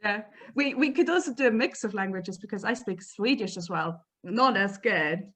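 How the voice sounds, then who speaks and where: A woman's voice: mid-range voice, lively intonation, moderate pace, fairly bright tone. A woman whose first language is German, chatting at length on a video call about her work teaching improv. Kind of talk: casual chat on a video call.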